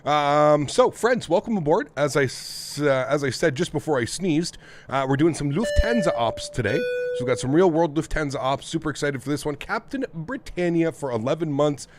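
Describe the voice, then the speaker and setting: A man's voice: on the high side, animated, moderate pace, slight background noise. A man from North America, sounding nasal and congested, hosting a casual live stream and greeting viewers one by one in an upbeat, friendly way. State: congested throat from the weather. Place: home flight sim setup.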